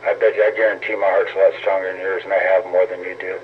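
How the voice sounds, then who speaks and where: A man's voice: sits low, little pitch movement, fast. Male CB operator, narrow tinny transmission with light noise; sarcastic, foul-mouthed, needling in casual argument. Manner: profane, taunting, sarcastic. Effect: CB radio transmission. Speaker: CB radio operator in an on-air argument. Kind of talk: heated casual trash talk over CB radio. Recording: tinny narrow-band CB radio, noisy static.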